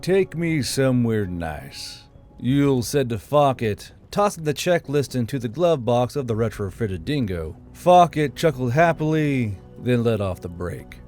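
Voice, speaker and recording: A man's voice: medium pitch, lively intonation, normal speed, hardly any pauses, darkish tone. A man reading scripted fantasy fiction as an audiobook narrator. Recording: music behind the voice. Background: background music.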